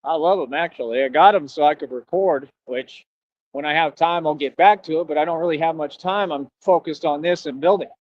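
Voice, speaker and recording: A man's voice: a little high, fairly quick, dry recording. A Texan man rambling casually in a self-recorded stream monologue, filling his talk with "you know what I mean". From Texas. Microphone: cheap headphones with mic. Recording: dry, dull lo-fi sound.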